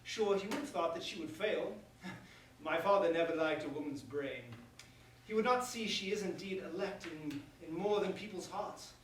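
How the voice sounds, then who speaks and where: A man acts out a pompous young prince, bitter and grand, in a verse comedy. The voice is high and theatrical, speaking formally. It comes from well off the mic in a strongly reverberant room with faint noise. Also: animated, moderate pace, a bit bright, recorded from a distance. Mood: brooding. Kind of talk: staged reading of verse comedy.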